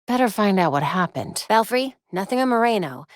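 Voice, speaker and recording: Actress as young american vigilante woman in a video game, very animated, dry wit, close dry recording. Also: medium pitch, a little fast, no echo, close to an everyday voice. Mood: focused, serious. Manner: dry, wry asides.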